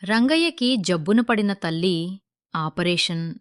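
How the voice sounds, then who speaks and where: A woman's voice: on the low side, expressive, slow, no echo, studio quality. A woman narrating a scripted moral story as its storyteller.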